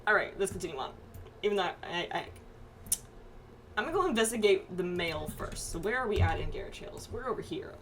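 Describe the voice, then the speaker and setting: A woman streaming a game with casual, animated, playful commentary among friends. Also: mid-range voice, fairly quick. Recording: faint background noise.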